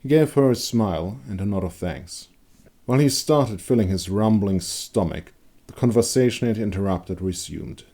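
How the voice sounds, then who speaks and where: Man, deep voice, dramatic narration, dark fantasy audiobook, scripted storytelling. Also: animated, moderate pace. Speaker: author and narrator.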